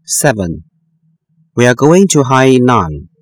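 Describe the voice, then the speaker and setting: Man reading test sentences, slow and lively. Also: medium pitch, no room sound. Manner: slow, careful, deliberate enunciation. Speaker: listening-test reader. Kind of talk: scripted textbook listening exercise.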